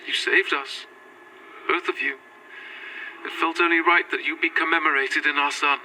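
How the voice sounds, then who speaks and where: A woman's voice: deep voice, steady tone, normal speed, pushed and bright, slight background noise, poor audio. An actress playing a gracious, devoted Victorian lady in a gothic audio drama, with formal, earnest, old-fashioned speech. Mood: tender, wistful. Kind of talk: found-footage gothic audio drama acting.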